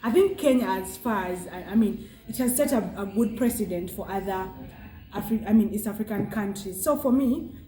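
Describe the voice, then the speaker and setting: A young Ugandan woman with a Ugandan English accent, a guest chatting casually and thoughtfully, pausing with fillers. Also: medium pitch, dark tone, reverberant, low-quality recording. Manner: thoughtful, hesitant with many fillers, laughs often. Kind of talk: casual interview chat.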